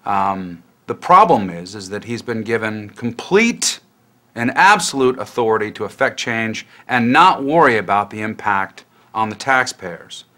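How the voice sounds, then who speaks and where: A man giving a critical interview comment in a measured way. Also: fairly low pitch, animated, pushed and bright. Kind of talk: interview soundbites.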